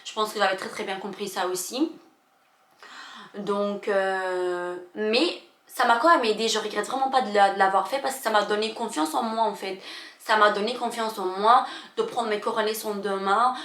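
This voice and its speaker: A young woman vlogger, not a native French speaker, telling a personal story in an emotional, halting way with many fillers and restarts. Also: medium pitch, a bit bright. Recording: echoey room.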